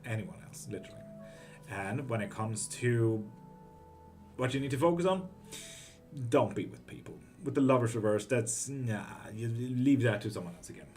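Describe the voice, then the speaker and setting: A man's voice: medium pitch, soft background music. A man from Greece, hosting a live tarot stream and reading out viewer comments in a loose, profane, chatty way. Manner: relaxed and profane, with quick laughs.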